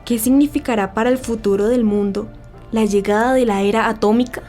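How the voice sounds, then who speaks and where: A grave newsreader in a 1940s radio drama , acted by a woman, with a fairly high voice. The delivery is formal, scripted and dramatic, with faint music behind it. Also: steady tone, fairly quick, dark tone, lightly acted. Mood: solemn, grave. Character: solemn 1940s radio newsreader, a woman, reporting war's end. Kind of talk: scripted radio news narration.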